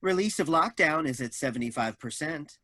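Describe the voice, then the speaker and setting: A man's voice: a little high, expressive, moderate pace, pushed and bright. A man acting a polite but menacing robot in a staged play reading, making formal, mock-helpful announcements. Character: polite, menacing male robot in a boxy body.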